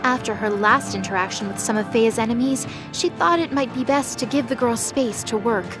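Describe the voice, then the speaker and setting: A woman's voice: a little high, moderate pace, over music. A woman reading dramatic scripted narration for a fantasy audio drama.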